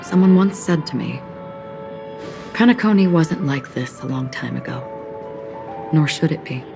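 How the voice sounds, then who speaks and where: A low sad wanderer lady in a video game, reflective, weighty pauses, music behind her. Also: creaky. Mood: somber, reflective. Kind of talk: video game cutscene voice acting.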